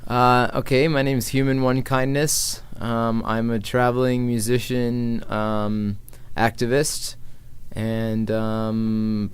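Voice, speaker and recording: An American man in his early thirties chatting informally as an interview guest, thinking aloud as he goes. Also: clean tone. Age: early 30s. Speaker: interview guest, traveling musician and activist. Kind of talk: casual conversational interview. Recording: low background noise, room ambience.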